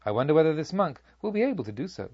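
A man narrating a literary story as an audiobook, with expressive, deliberate and dramatic phrasing. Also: on the high side, slightly rough, no room sound, muffled recording.

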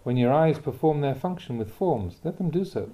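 Very mellow, dark-voiced man reading Buddhist teachings. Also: fairly high pitch, expressive. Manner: measured, deliberate, instructive. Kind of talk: scripted reading of a dharma talk.

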